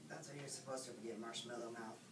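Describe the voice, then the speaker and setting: A woman's voice: rumbling low, fairly even intonation, fast. A female reader playing a blunt, sarcastic, wisecracking animal crook in a readers theatre. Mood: scornful. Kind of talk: readers theatre script reading. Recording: echoey room, far mic, background noise.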